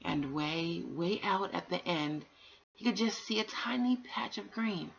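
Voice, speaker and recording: Woman, lowish husky voice, bedtime storybook narration, unhurried phrasing, dull lo-fi recording. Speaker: amateur bedtime story reader. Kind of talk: storybook narration read aloud.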